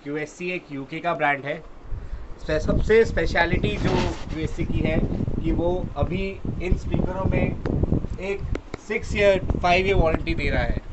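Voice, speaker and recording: A man in his thirties from India giving an informal sales pitch as a shop representative, mixing in English words. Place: indoor electronics showroom. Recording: dull sound with background noise.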